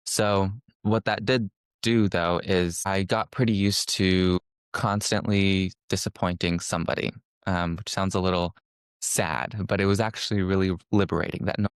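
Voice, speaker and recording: Low-voiced young man giving a casual webinar. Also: smooth, no echo, studio quality. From California. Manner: relaxed, reflective, with frequent restarts and fillers. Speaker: social work student presenter.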